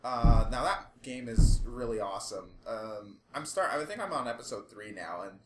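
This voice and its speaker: A man co-hosting a podcast in casual chat, with an animated, exasperated, sweary way of talking. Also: mid-range voice, moderate pace. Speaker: podcast co-host. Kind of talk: casual podcast chat about movies and games. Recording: echoey room with background noise.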